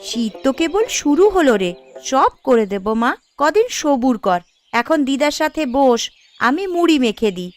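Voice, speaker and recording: A woman voicing a gentle, caring village mother and dutiful daughter-in-law in a cartoon, attentive and homely. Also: high voice, big pitch swings, moderate pace, faint music behind. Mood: reassuring. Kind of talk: Bengali family cartoon voice acting.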